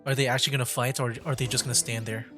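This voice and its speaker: A man's voice: medium pitch, little pitch movement, rapid-fire. A man giving casual live commentary as he streams a video game. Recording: game music behind voice. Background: video game music.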